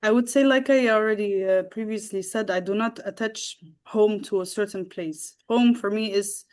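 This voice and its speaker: Young female guest, from the Netherlands, middling pitch, halting and full of fillers. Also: steady tone, a little mellow, smooth. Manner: halting, with many fillers and restarts. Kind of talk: casual storytelling.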